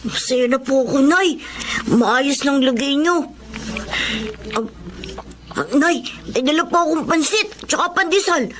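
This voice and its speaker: A young boy in a Filipino radio drama, with a high, childlike voice. He is earnest and timid, polite and hesitant, devoted to his mother. Some noise sits under the recording. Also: a little slow, long pauses, a bit bright.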